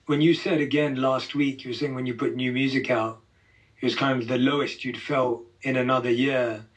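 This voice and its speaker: A man interviewing a guest, asking calm, probing and supportive questions. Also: mid-range voice, normal speed. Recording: dull, distant replayed interview audio.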